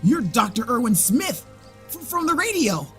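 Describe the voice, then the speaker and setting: A woman playing an excitable, frantic young female survivor in an apocalypse audio drama. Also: fairly high pitch, normal speed, soft background music. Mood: astonished. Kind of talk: fan audio drama dub acting.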